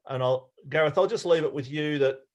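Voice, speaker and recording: A man's voice: a little high, brisk, a little mellow, highs cut off. An Australian man giving an informal talk to a club over a video call, easygoing and rambling, often restarting his sentences. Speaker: guest speaker.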